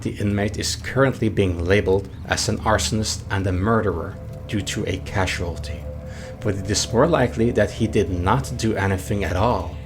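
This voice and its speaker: A man narrates a game analysis in a measured, analytical manner. His voice sits very low. Loud music runs beneath his voice-over. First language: Norwegian. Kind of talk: scripted narration.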